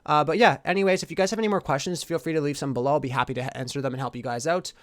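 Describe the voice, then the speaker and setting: Male tutorial host, informal and reassuring, rapid delivery, dry close-miked voice-over. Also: a little high, steady tone, no room sound. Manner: informal, reassuring explainer addressing viewers directly. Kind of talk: casual PC tutorial narration.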